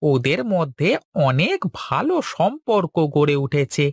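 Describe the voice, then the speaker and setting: A woman's voice: sits low, very animated, unhurried, husky, dry recording, clean studio sound, heavily acted. A woman voicing a loyal, deferential servant and messenger in a cartoon, speaking assuringly. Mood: reporting news. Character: loyal servant-messenger watching over the master's daughters. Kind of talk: Bengali cartoon voice acting. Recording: music under the voice.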